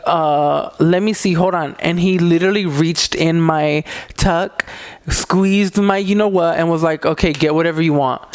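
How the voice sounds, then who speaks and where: A man in his mid-twenties chatting as a podcast host in a casual, gossipy way, animated and sassy with lots of slang. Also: fairly high pitch, smooth.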